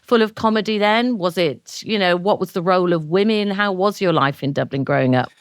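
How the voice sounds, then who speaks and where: Older woman, podcast host, slightly low pitch, conversational interviewing, dry close recording. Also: a little fast, no room sound.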